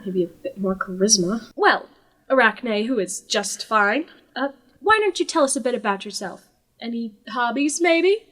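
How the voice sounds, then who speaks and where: A sardonic god played by a woman hosts a talk show in a comic audio drama. The voice sits fairly high and swings widely in pitch, teasing and theatrical, with sarcasm throughout. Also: lively intonation, moderate pace, a bit bright. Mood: sarcastic teasing.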